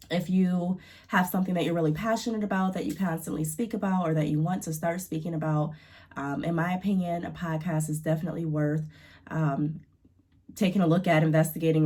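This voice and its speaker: A woman's voice: low-pitched, dark tone. Congested woman giving chatty business advice. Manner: chatty, filler-heavy, encouraging. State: congested from allergies. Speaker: YouTube business vlogger. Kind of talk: casual advice talk to camera.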